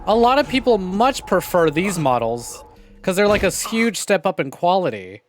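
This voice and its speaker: A man with a fairly high, very animated voice hosts a game stream. He chats casually and conversationally with viewers, with soft music behind him. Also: normal speed. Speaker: livestream host.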